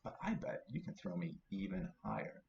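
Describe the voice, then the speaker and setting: A man's voice: sits low, sing-song, moderate pace, creaky, voice pushed down, exaggerated voice. In a roomy, slightly dull recording, a man acts out a little storybook tree toad. He is coaxing and self-assured, a small trickster who likes to taunt. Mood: sly, taunting. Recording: roomy, dull home recording with faint noise.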